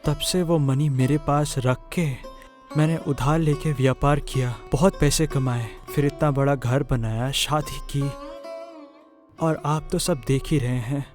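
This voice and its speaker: A man playing a humble, remorseful village father in a cartoon, speaking in a halting, pleading way. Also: mid-range voice, steady tone, darkish tone, faint music behind, close to an everyday voice. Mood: subdued. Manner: halting, pleading, repeats words. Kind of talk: Hindi moral-story cartoon acting.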